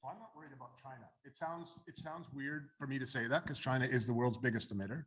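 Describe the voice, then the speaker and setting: A man's voice: medium pitch, quick pace, a bit bright, breathy edge. A man speaking on a panel discussion, opinionated and joking with the audience, with many restarts. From Canada. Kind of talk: panel discussion answering questions.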